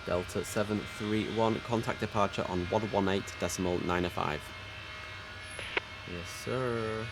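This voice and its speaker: A man's voice: on the low side, fairly even intonation, a little slow. A man streaming a game, mixing casual commentary with air traffic control instructions over background noise.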